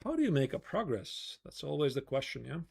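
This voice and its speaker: A man's voice: fairly high pitch, sing-song, brisk, creaky, a little mellow, husky. A man with a Latvian accent giving casual live chess commentary, thinking aloud in short phrases and questions.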